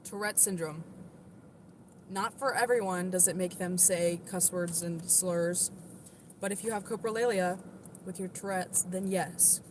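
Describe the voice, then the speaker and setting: A woman with a moderately deep voice talks casually on her livestream. She answers viewer comments in a defensive, chatty way, peppered with "like" and "literally". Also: steady tone, unhurried, background noise.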